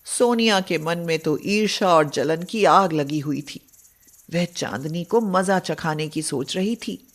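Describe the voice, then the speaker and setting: A woman narrating a moral storybook tale in a measured, scripted way. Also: on the low side.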